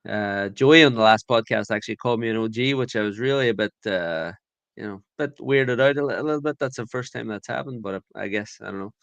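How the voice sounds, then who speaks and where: A man's voice: fairly low pitch, fast talker, creaky, fairly bright tone, dry recording. Hesitant Irish man, casual podcast guest. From Ireland. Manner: hesitant, many fillers and restarts. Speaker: podcast guest, conference founder.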